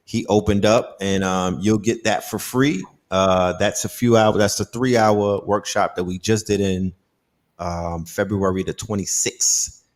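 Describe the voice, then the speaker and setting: A low-pitched man hosting a relaxed online show. He speaks in a loose, slangy way and keeps thanking his guest. He sounds easygoing throughout. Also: unhurried. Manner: laid-back, slangy, affirming. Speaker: host. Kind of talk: casual livestream interview.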